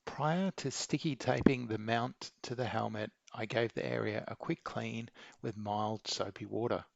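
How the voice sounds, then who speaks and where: A man reading a scripted how-to narration in a measured, methodical way. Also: on the low side, fairly even intonation, gravelly, no room sound, muffled recording. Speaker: video maker giving an installation tutorial.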